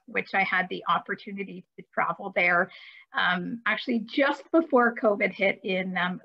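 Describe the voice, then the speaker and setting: A woman giving a friendly, upbeat webinar presentation over a video call, with light hesitations. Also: medium pitch. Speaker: cruise line presenter. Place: home office. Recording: muffled video call audio.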